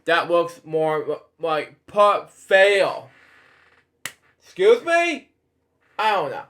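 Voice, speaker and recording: A man hosting a read-aloud of children's stories in a casual, halting, rambling way with off-the-cuff asides. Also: high voice, big pitch swings, a bit bright. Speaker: host reading bedtime stories.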